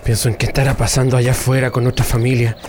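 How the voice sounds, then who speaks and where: A man's voice: mid-range voice, level tone, fast, noisy background, lightly acted. A man acting a wistful, earnest prisoner who consoles his comrades in a radio drama.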